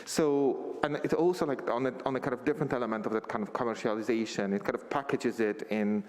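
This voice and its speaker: A man's voice: mid-range voice, fairly even intonation, darkish tone. A man with a Polish accent speaks up from the audience, his words muffled behind a face mask. He talks hesitantly, stopping and starting, in a middle-range voice. A long reverb from the meeting hall trails every phrase. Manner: hesitant, halting, with nervous laughs. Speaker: audience member asking a question. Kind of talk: live Q&A question.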